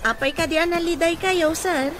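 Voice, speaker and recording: A woman's voice: very high-pitched, moderate pace, loud music behind the voice. A woman playing a kind, concerned caregiver in an Ilocano radio comedy-drama. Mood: concerned, respectful. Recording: noisy radio drama mix.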